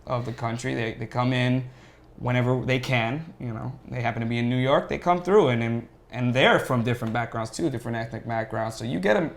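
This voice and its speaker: A man chatting casually as a podcast guest, telling stories in a rambling, animated way about his hobby. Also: mid-range voice, a little fast, vocal fry. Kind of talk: casual chat.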